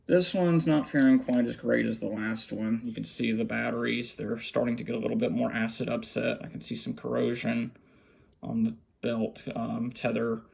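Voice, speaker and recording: A man with a gravelly, creaky voice giving casual unscripted commentary, muttering to himself while he works with his hands. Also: medium pitch, little pitch movement, moderate pace, darkish tone. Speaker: hobbyist vlogger showing a radio collection. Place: small indoor room at a cluttered desk.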